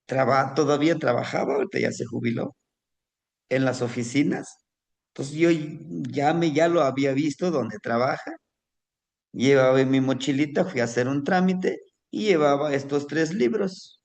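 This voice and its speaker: A man from Mexico, a native Nahuatl speaker with a Mexican Spanish accent, telling a personal story to a class in a slow, reflective way. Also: mid-range voice, creaky. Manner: slow, reflective, warmly addresses listeners as family.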